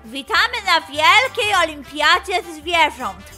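Male beaver games host acted by a woman, proclaiming, showy, very high, bright, children's story. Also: big pitch swings, takes it slowly, soft background music, full character voice. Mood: rousing. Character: rousing male beaver announcer at animal games.

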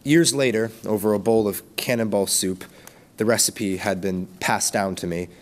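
A man's voice: on the low side, fairly even intonation, normal speed. A teenage boy reciting a narrative poem as a student at a podium microphone. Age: teens. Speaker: student poet. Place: school stage at a podium. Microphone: gooseneck podium microphone.

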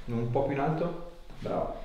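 A man's voice: mid-range voice, normal speed, mellow. A man, an osteopath giving a patient casual, reassuring short instructions in a small clinic room. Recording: echoey small room, background noise, off-mic.

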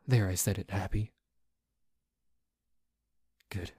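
A man playing a dorky, grumpy, possessive but secretly tender boyfriend in an ASMR roleplay, grumbling haltingly with sighs. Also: sits low, fairly even intonation, warm tone, husky, dry recording, studio quality, full character voice. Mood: reluctant, pleading.

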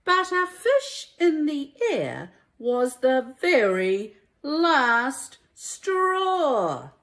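A woman reads a children's storybook aloud like a primary teacher, in a deliberate, emphatic and expressive way. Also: sits high. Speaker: primary school teacher reading a story to young pupils.

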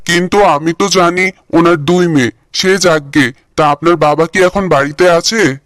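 A courteous village matchmaker from a cartoon, played by a man, asks questions politely. His male voice sits a little high, and the recording is dry. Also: normal speed, fairly bright tone, no room sound, close to an everyday voice. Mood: polite, curious. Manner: polite, inquisitive. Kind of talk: Bengali cartoon dubbing.

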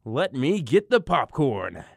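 A woman's voice: a bass voice, sing-song, slow, vocal fry, husky, no echo, exaggerated voice. Woman as snarky myth co-narrator, casual, jokey, swoopy voice, dry audio drama. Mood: mock announcer. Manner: casual, wisecracking. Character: flippant, sarcastic co-narrator of Greek myths. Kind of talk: comic narration.

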